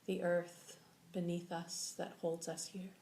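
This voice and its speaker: A woman leading a guided meditation as a teacher, giving slow, gentle instructions with long pauses. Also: fairly low pitch, little pitch movement. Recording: echoey room with faint background noise.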